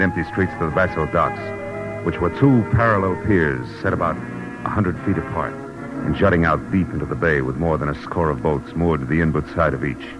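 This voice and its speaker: A hardboiled private eye speaks in a deep, low voice. He is tough, clipped and sardonic, in the style of classic radio noir, on an old broadcast recording with music. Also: muffled recording. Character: hardboiled, wisecracking male private detective. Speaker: narrator and lead actor. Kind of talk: 1940s-50s radio detective drama acting, first-person narration.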